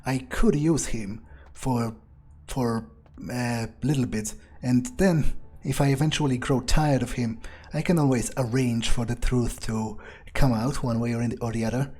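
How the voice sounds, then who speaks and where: A man's voice: medium pitch, steady tone, unhurried, a little mellow, slightly rough. A man voicing a cynical, scornful fledgling vampire in a game playthrough, musing aloud hesitantly and profanely. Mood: calculating, musing. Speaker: player role-playing in character.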